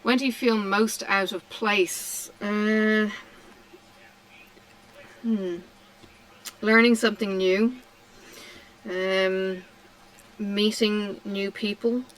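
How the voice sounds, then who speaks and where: A middle-aged woman from Tasmania chatting casually while she crafts, answering questions slowly with many ums and pauses. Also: mid-range voice, fairly even intonation, dry recording, rough recording. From Australia (Tasmania). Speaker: craft vlogger. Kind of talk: relaxed chat while crafting.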